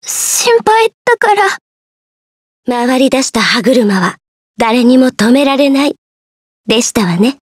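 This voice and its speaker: A woman voicing a polite, refined young lady in an anime game, soft and tender. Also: sits high, big pitch swings, normal speed, long pauses, no echo, studio quality.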